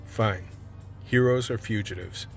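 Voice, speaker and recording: Hard-boiled cop narrator played by a man: low, weary, measured, radio play with faint music underneath. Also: creaky. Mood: brisk, determined. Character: world-weary male undercover vice cop telling his story.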